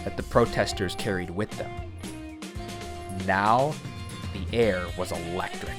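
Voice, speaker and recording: A man's voice: low-pitched, unhurried. A man reading scripted documentary-style narration in a wry, literary manner. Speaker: narrator of a protest account. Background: music, guitar.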